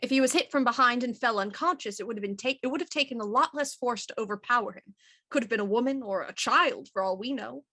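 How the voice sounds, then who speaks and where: A woman playing a shrewd, commanding police inspector in a Victorian radio mystery, crisp and analytical. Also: on the high side, fast talker, sharp tone, no echo.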